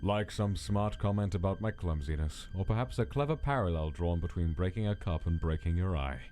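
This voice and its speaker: Comic dub villain played by a man: monster scientist, low and lively in pitch, controlling, articulate, coldly formal. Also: brisk, faint music behind. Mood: dry, needling. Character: cold, clinical male monster scientist, wry and controlling.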